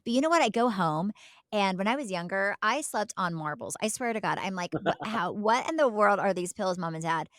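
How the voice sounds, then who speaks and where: A woman's voice: medium pitch, animated, a little fast, fairly bright tone, no room sound, clean studio sound. A woman co-hosting a podcast in casual, chatty style, emphatic and given to repeating words for stress. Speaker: podcast co-host. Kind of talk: casual chat.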